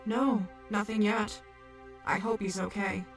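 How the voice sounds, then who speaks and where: An actress playing a gentle, caring young robot trainee medic in an audio drama, speaking softly. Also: female voice, medium pitch, steady tone, unhurried, soft background music, lightly acted. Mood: worried.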